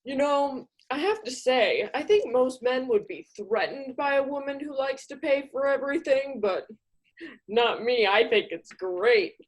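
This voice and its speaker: A woman's voice: high voice, expressive, a little slow. A woman playing a smarmy, pushy male accountant on a date in a comedic radio play. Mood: smug.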